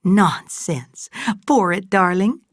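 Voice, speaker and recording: A woman's voice: mid-range voice, expressive, takes it slowly, no echo, studio quality, voice pushed up. A woman voicing a doting, insistent older mother in an audiobook, emotional and exclamatory in her delivery. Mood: merry, coaxing.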